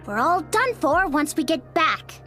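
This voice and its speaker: A woman playing a blunt, worrying young female soldier in a game, expressive and outspoken. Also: high voice, measured pace, soft background music, full character voice. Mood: worried, puzzled. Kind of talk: dubbed game cutscene voice acting.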